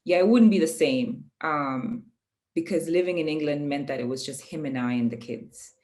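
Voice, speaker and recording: Low-voiced woman, reflective. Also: a little mellow. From Kenya. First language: Swahili. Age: adult, parent of a young child. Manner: reflective, pauses often with ums. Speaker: guest. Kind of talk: casual conversation.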